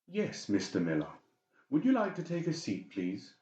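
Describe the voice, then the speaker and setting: A man's voice: a little high, huge pitch swings, normal speed, warm tone, reverberant, dull audio, voice pushed up. A man voicing a cold, manipulative doctor in a horror audiobook, mock-polite and menacing. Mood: falsely soothing. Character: cold, conniving, balding doctor, falsely soothing villain. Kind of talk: dramatised horror audiobook dialogue.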